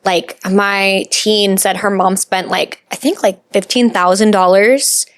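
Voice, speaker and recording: A woman's voice: mid-range voice, steady tone, unhurried, dry recording, studio quality. A woman in her twenties from New York with an American accent, chatting casually and informally in slangy speech as a podcast guest. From New York, USA. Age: 20s. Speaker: podcast guest, pageant titleholder. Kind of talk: casual chat.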